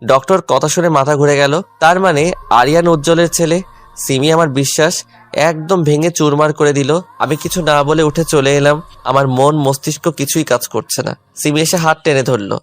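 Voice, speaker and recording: A man's voice: a little high, fairly even intonation, moderate pace. A man narrating a first-person love story as a dramatised audio tale. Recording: music under the voice.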